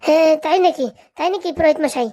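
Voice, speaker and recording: A performer voicing an excitable, childlike villager in a comic cartoon, squeaky and with a rural Bengali accent. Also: fast, close to an everyday voice. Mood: playful. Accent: Bengali, rural dialect.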